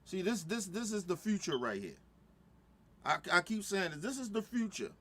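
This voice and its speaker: A man's voice: high-pitched, big pitch swings, moderate pace, bright, forceful tone. A middle-aged man giving heated, profane reaction commentary, repeating himself and restarting his sentences as he goes. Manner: heated, repetitive, stumbling restarts.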